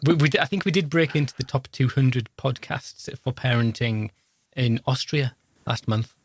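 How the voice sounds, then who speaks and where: A man's voice: mid-range voice, moderate pace, breathy edge, no echo, clean studio sound. A middle-aged man with a Northern English accent, co-hosting a podcast in casual, rambling, jokey chat. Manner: jokey, rambling, lively asides. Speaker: podcast co-host.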